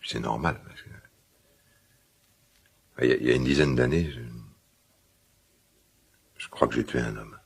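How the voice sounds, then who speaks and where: Gravelly, very deep-voiced troubled father. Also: heavily acted. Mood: haunted, confessing. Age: middle-aged. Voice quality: gravelly, husky smoker's voice. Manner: hesitant, halting, confiding. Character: guilt-ridden middle-aged father, awkwardly tender smoker. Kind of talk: naturalistic French radio drama dialogue.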